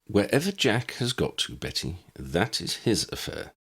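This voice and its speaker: A man voicing a calm, courteous old man in an audiobook, speaking in a measured, formal and unruffled way. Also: sits low, breathy edge, full character voice. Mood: calm, firm. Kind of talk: audiobook character voice.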